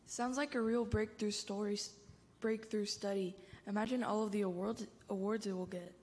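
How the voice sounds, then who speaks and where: A woman's voice: medium pitch, fairly even intonation, normal speed, darkish tone, close to an everyday voice. Young woman as skeptical teen girl, echoey hall. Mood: sarcastic. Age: teenager or young adult. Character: skeptical, sarcastic teenage girl, easily grossed out. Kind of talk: church reader's theater.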